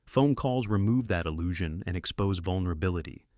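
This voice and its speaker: A man reading scripted explainer narration in a measured, deliberate way. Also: sits low, lively intonation, a little mellow, dry recording, clean studio sound.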